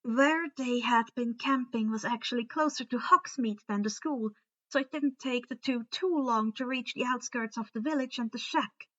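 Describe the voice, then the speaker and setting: A woman's voice: a little high, normal speed, a bit bright, muffled recording. A woman narrating a story aloud, now and then stumbling and rereading words. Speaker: fan fiction reader. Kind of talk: story narration with character dialogue.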